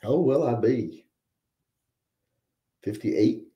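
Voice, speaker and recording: A man's voice: medium pitch, lively intonation, slow, dull audio. Wry, rambling man in an echoey room. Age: 40s. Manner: rambling, wry joking asides. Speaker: podcast host reading viewer chat. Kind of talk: casual live-stream chat. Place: home room with guns on the wall.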